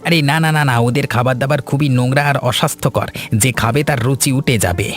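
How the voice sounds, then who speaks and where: A man's voice: medium pitch, brisk, soft background music, close to an everyday voice. A man voicing a shifty, cowardly village schemer in a cartoon folk tale, blustering and whiny, stammering when cornered. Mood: scheming, wheedling. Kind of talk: animated folk tale voice acting.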